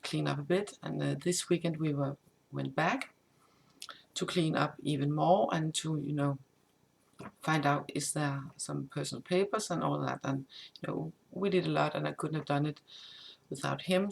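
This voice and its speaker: A woman whose first language is Danish, a tarot reader who gives a casual spoken update, halting and improvising with many restarts. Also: deep voice, steady tone, creaky, slightly rough.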